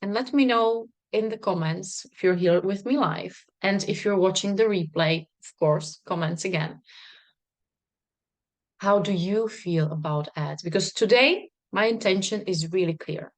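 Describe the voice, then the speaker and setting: A woman's voice: medium pitch, unhurried. A woman, a non-native English speaker, talking to a live stream audience as a business coach in a bubbly, emphatic way with frequent laughter. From not Czech, worked in Czech Republic. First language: not English. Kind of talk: live stream talk to viewers.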